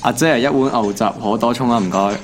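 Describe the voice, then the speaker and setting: An actor playing a young office worker who grumbles and answers back casually in a radio drama. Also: male voice, medium pitch, brisk, slight background noise, natural voice. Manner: grumbling, casual, dry retorts. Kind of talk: Cantonese radio drama acting.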